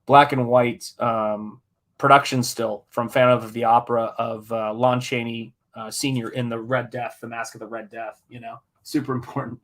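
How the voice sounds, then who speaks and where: A man's voice: medium pitch, little pitch movement, normal speed, fairly bright tone. Middle-aged man chatting on a casual livestream. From United States. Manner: rambling, jokey, self-deprecating. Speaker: artist hosting a live painting stream. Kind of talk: casual livestream chat while painting.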